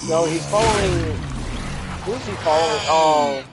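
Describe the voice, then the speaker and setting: A man's voice: a little high, a little slow. A young man whose voice sounds younger than he is, chatting casually and self-deprecatingly while playing a game. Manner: rambling, self-deprecating, fillers and restarts. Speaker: gamer. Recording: loud game noise behind.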